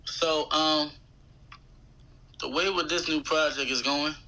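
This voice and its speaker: A man's voice: fairly high pitch, steady tone, slow, bright, forceful tone, poor audio. An American man in his thirties talking casually as an interview guest, in a slangy, conversational way. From United States. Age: 30s. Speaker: guest, rapper.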